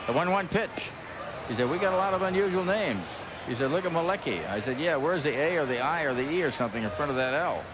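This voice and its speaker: A man's voice: on the high side, expressive, quick pace. An American man calling baseball play-by-play in a folksy, conversational way with clipped calls. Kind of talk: radio play-by-play commentary. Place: ballpark broadcast booth. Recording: noisy broadcast over loud ballpark crowd. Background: loud crowd and stadium ambience.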